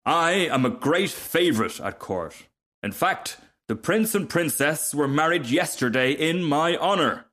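A vain, self-absorbed firework acted out by a man reading a storybook. The delivery is haughty and easily affronted, with pitch that rises and swings widely over formal, self-important phrasing. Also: lively intonation, a little slow, a bit bright. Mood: smug, lecturing.

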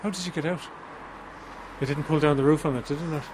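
A man conducting a casual radio interview outdoors, teasing with quick follow-up questions. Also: a little high, steady tone, darkish tone, slight background noise. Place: outdoors among a crowd.

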